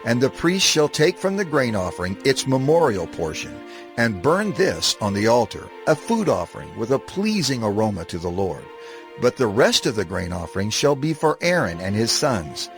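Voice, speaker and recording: Casting: male narrator for dramatized scripture, mid-range voice with expressive rise and fall, grave delivery. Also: mid-range voice. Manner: solemn, measured, deliberate emphasis. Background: music.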